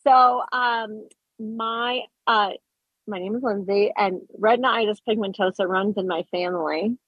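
Husky-voiced woman on a dull lo-fi line. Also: mid-range voice, a little slow, no room sound. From Colorado. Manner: bubbly and chatty, laughs easily. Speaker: radio show guest. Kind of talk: casual interview chat.

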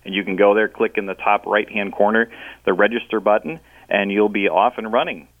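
A man's voice: lowish voice, a little fast, dry recording. A man calling into a radio show as a guest for a charity, talking hesitantly with many ums and uhs. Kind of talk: casual radio interview. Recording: tinny phone line.

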